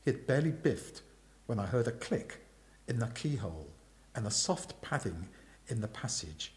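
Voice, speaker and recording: A man reading a comic story aloud in an expressive narrating style. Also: mid-range voice, normal speed. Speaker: story reader.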